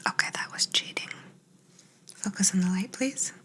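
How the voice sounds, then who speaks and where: A woman playing a curious, gently clinical alien in an ASMR roleplay, whispering soft, breathy instructions in a soothing, measured way with murmured hums. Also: mid-range voice. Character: curious, gently clinical female alien examining an abducted human.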